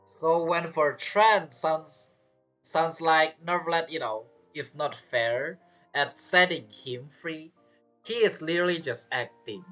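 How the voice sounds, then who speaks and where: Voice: mid-range voice, slow, a bit bright, rough recording. A game streamer giving casual playthrough commentary in choppy, non-native phrasing. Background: game music.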